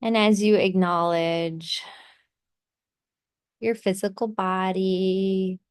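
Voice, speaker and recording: A woman leading a guided meditation in a soothing, gently instructive way, pausing between short phrases. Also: medium pitch, fairly even intonation.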